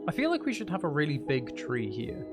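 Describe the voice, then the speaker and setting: A man's voice: on the high side, huge pitch swings, brisk, loud music behind the voice. A man thinking aloud as he plays a building game, chatting casually with musing, playful asides. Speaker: streamer playing a building game.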